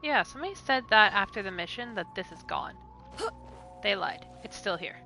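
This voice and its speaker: A woman's voice: medium pitch, animated, moderate pace, pushed and bright. A woman streaming a game, making blunt, offhand remarks in a casual way. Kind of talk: casual gameplay commentary. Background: game music.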